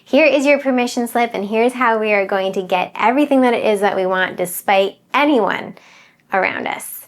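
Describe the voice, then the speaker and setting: A woman's voice: a little high, normal speed. Earnest woman coach talking right to her viewers, emphatic and encouraging, says the big lines over and over. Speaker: manifestation coach. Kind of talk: direct-to-camera motivational talk.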